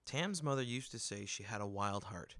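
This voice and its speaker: A man reading a novel aloud in an expressive storytelling manner, close to the microphone. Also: on the low side, moderate pace, no room sound. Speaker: audiobook reader. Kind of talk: scripted fiction narration. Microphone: close microphone, dry room.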